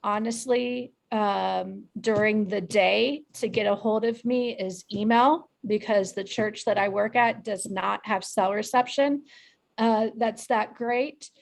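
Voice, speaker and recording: A woman's voice: mid-range voice, slow pace, gravelly. A woman giving casual meeting announcements as a coordinator, in a matter-of-fact, explanatory way. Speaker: production coordinator making announcements. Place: online video call.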